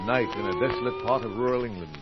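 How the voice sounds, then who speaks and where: A man's voice: medium pitch, fast, loud music behind the voice. Casting: man, mid-range voice, theatrical narrator for old radio mystery, ominous scripted delivery, lo-fi sound. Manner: portentous, theatrical. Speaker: radio drama announcer. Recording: muffled, lo-fi old radio recording with hiss.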